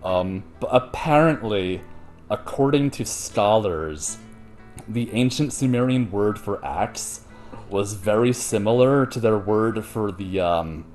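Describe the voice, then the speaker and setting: A man's voice: on the low side, slow, a little mellow, soft background music. A man hosting a show, retelling a story in casual, slangy, profane and jokey chat. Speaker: host retelling an ancient myth.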